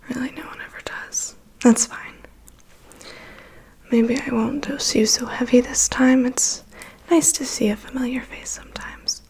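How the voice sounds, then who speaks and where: A woman playing a calm, prying vampire's assistant in an ASMR roleplay, murmuring dry asides and reassurance in a breathy whisper. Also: fairly high pitch. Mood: calm, wry. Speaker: ASMR performer. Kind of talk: ASMR roleplay, personal attention.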